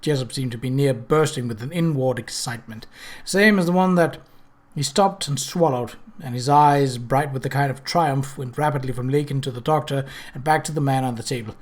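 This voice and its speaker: A man reading a scripted story aloud as an audiobook narrator, with an expressive, dramatic delivery. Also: mid-range voice, brisk. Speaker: audiobook reader.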